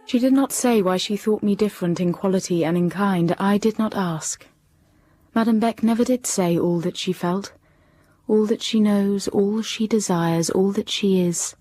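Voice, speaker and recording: A woman playing a reserved, quietly firm young English schoolteacher in a period radio drama, speaking in a measured, formal way. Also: mid-range voice, no echo, close to an everyday voice. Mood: brooding.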